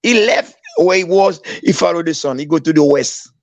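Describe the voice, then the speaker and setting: A man's voice: moderate pace, dry recording. A man from Haiti with a Haitian accent, a guest telling stories animatedly, acting out quoted dialogue. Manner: animated storyteller, acts out quoted dialogue with raised pitch. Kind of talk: casual storytelling. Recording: dry, dull lo-fi.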